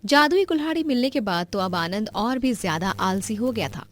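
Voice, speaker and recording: A woman narrates a scripted cartoon story as its storyteller. Her voice sits at an ordinary female pitch. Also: animated, normal speed.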